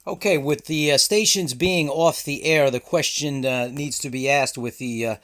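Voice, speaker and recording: A man hosting a ham radio channel, explaining in a casual, halting way with many filler sounds. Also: a little high, a bit bright.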